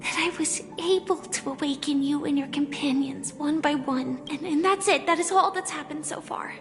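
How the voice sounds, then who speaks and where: A woman playing a gentle, wistful young woman in a video game, with a soft, breathy, unhurried delivery. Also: sits high. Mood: gentle, reassuring. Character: gentle, wistful young woman with a secret armored alter ego. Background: music.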